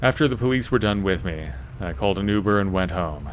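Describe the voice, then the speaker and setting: A man with a very low voice narrates a first-person horror story from a script. Faint ambient noise sits behind his voice. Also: lively intonation, fairly quick.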